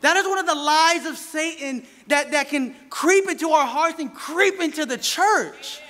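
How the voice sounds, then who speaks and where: A man in his thirties preaches from a pulpit mic with an animated, playful delivery, calling out to the congregation. His voice sits very high and rings bright and forceful. The sound carries a long church-hall reverb with a faint congregation murmuring behind him. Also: a little slow. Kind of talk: sermon. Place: church sanctuary, indoors. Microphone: stand mic at a pulpit.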